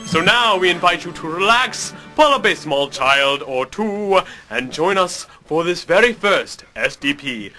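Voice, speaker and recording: High-voiced theatrical man narrating over music. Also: animated, unhurried, few pauses, sharp tone. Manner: theatrical, mock-grand. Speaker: narrator and show host. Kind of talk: comic scripted storybook narration.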